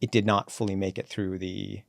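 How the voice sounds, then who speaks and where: A man in his forties with a fairly low voice tells his career story as a podcast guest. He moves briskly through the story and acts out the lines he quotes. The recording is close and dry. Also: little pitch movement, creaky, dry recording. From born in Sri Lanka, raised in Canada. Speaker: guest, company CEO. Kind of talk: relaxed podcast interview, storytelling.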